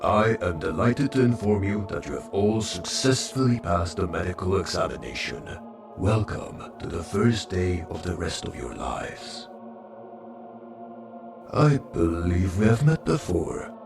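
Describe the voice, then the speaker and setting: An actor playing a formal senior robot medical official in an audio drama, measured and ceremonious, with a gravelly voice. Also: male voice, deep voice, big pitch swings, full character voice. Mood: formal, welcoming. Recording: roomy, distant, music underneath.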